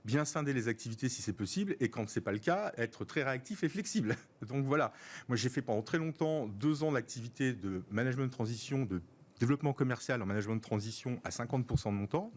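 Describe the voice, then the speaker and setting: A man in his fifties from France, a guest in an interview, explaining his professional network in a measured way and citing figures. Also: mid-range voice, lively intonation. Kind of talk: radio interview answers.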